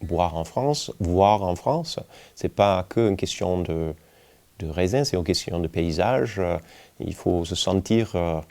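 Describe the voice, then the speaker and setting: Man in his forties, American accent, unhurried, dark soft tone, halting interview delivery. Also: low-pitched, animated. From United States, Kentucky. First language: English. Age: 40s. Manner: hesitant, halting, searching for words. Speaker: winemaker. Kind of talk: relaxed documentary interview, telling life story.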